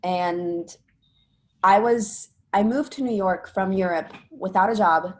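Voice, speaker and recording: A woman's voice: medium pitch, slow. A young woman from Italy, talking casually in an interview with many fillers and restarts. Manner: chatty, rambling with frequent fillers and restarts. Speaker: interviewee, spin instructor.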